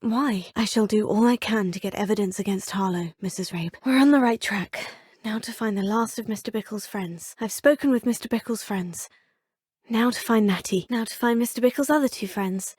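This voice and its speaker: A breathy, earnest young witch. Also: a little high, darkish tone, no room sound, studio quality. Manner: polite, formal and composed. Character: earnest young female student witch, polite and determined. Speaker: player character voice. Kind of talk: video game voice acting. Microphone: studio microphone.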